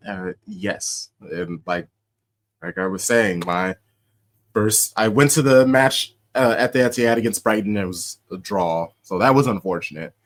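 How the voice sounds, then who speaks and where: Young American male host, lowish voice, animated and laughing, casual podcast chat. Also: unhurried. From United States. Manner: animated storyteller, laughs often, shouts out quoted chants.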